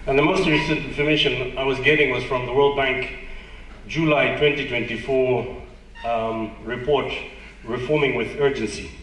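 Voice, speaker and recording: A man's voice: medium pitch, a bit bright, slight background noise. A man with a Malawian accent giving a conversational, self-deprecating conference presentation and putting questions to the audience. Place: conference hall. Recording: echoey hall, distant mic.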